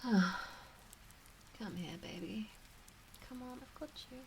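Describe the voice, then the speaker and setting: Woman as kitsune witch mistress for ASMR roleplay: motherly, teasing, very slow whispery cooing, creaky edges. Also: mid-range voice, a little hiss or hum, low-quality recording. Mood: tender, soothing.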